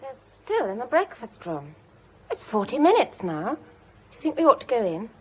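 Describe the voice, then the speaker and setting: A woman's voice: a little high, wildly swooping pitch, moderate pace, breathy edge, heavily acted. A woman acting a frank young upper-class British granddaughter in a radio drama, speaking in a direct, clipped way. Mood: brisk. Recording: muffled lo-fi radio recording with noise.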